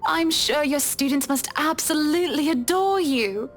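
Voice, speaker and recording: A woman's voice: high voice, measured pace. A woman voicing a genteel, affectionate and wry lady of a mansion in a visual novel, with a gracious, proper manner. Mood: gracious, warm.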